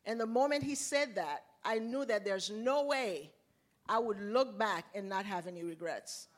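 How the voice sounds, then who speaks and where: A woman's voice: medium pitch, normal speed. Earnest woman from Haiti giving testimony. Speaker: ministry leader sharing testimony.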